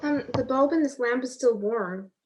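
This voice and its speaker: A woman plays a detective's witty wife in an old radio mystery. Her voice is on the high side, and she stays unflappable, droll and teasing. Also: normal speed, a little mellow, a little hiss or hum, natural voice. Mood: uneasy, wry. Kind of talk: 1940s radio comedy-mystery acting.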